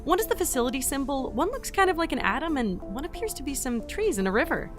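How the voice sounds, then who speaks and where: A woman acting as an inquisitive, brash young agent in a sci-fi audio drama. Her voice sits high and swings widely in pitch, with an informal, easily defensive manner. Music plays clearly behind her. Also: big pitch swings, fast. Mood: curious, observing. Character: brash, inquisitive young field entity logging a mission.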